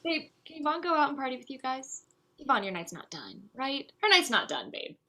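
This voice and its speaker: A woman's voice: high-pitched, big pitch swings, a little fast, bright, forceful tone, exaggerated voice. A woman playing a tipsy, playful, needy bride who rambles, in a naturalistic comedy table read. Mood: playful, teasing. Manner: rambling, with frequent "like".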